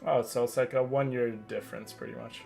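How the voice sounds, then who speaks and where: A man's voice: mid-range voice, level tone, a little fast, vocal fry, warm tone, faint music behind. A man from Canada chatting casually as a streamer, joking and rambling over gameplay.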